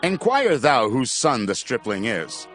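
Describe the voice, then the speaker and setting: A man's voice: mid-range voice, big pitch swings, a little slow, a bit bright, soft background music. An actor playing a commanding king in a dramatized scripture audio drama, emphatic and regal. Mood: curious. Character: commanding king of Israel, grown man.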